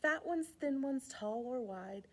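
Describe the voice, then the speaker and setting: High, animated woman reading to young children. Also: measured pace. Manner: playful, encouraging, exaggerated child-directed delivery. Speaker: children's library storyteller. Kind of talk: animated read-aloud and singalong for young children.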